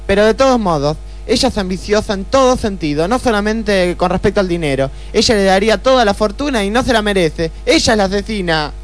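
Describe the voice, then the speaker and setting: A man's voice: high voice, lively intonation, fairly quick, hardly any pauses. A man with an Argentine accent voicing a young, haughty, scheming woman client in a comic noir radio play. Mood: haughty, accusing. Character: young, scheming, spiteful femme fatale client, female.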